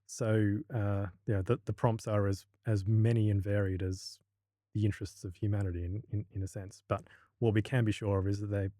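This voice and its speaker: An Australian man with a low, mellow voice talks through a casual podcast interview. He stumbles and restarts often while he gathers his thoughts. The recording is dry and close. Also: no echo. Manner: hesitant, many restarts and fillers. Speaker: university lecturer, podcast guest.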